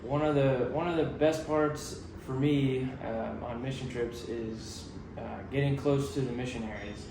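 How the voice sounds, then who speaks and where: Male podcast host, mid pitch, halting delivery, mic far off in an echoey room. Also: steady tone, noisy background. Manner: halting, many fillers and restarts. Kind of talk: casual podcast hosting.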